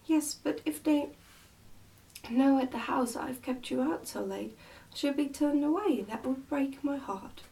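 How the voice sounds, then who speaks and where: A woman's voice: high-pitched, a little slow, creaky, warm tone, recorded from a distance, pitched above the performer's own voice. A woman voicing a fretful, scolding nursemaid in a storybook, anxious and easily indignant. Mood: self-pitying. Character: fretful, scolding, self-pitying nursemaid to a princess.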